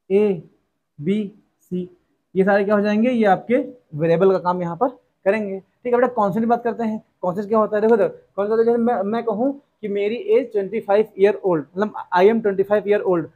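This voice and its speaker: A man's voice: high voice, a little mellow, muffled recording. A young man teaching a maths lesson, calling his students "beta" and asking rhetorical questions he answers himself. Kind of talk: classroom lesson explanation.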